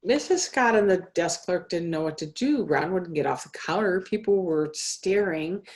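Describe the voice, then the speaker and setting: A woman reading a children's story aloud in a deliberate, expressive way, as a guest minister. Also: lowish voice. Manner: slow, deliberate, expressive phrasing. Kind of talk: storybook read-aloud for all ages.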